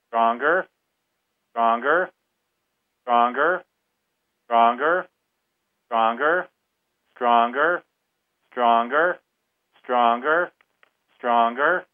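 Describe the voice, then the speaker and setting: A man on a radio show leading an energy session and answering questions, speaking hesitantly with repeated words. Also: mid-range voice. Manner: hesitant, guided-meditation delivery. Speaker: energy healer guest. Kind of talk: radio talk. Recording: thin phone-line sound.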